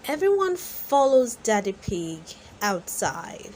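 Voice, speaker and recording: A woman reading a storybook aloud for children in an expressive way, as the host. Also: medium pitch, slow, slight background noise. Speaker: storybook reader and channel host.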